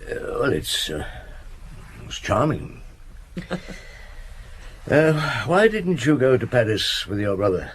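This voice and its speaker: An actor in a radio drama playing a shrewd police chief inspector, courteous and probing, with polite hesitations. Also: male voice, mid-range voice, very animated, slow, pauses often. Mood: courteous, awkward.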